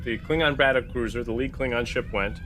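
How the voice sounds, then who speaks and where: A man's voice: mid-range voice, fairly quick, background noise. A man in casual game-table discussion, talking as a player and tentatively thinking aloud.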